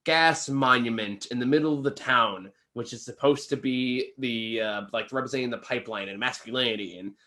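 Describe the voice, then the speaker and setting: A man's voice: medium pitch, normal speed, hardly any pauses, sharp tone. A man co-hosting a casual film review chat, speaking informally and hedging as he trails into asides.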